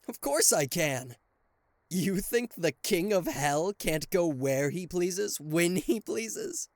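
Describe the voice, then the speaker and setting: A man playing a cocky, playful and easily flustered king of hell as an affectionate boyfriend in an ASMR roleplay, with theatrical teasing pauses and stammers. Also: fairly high pitch, no room sound, clean studio sound. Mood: indignant, boastful. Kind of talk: ASMR roleplay addressed to the listener.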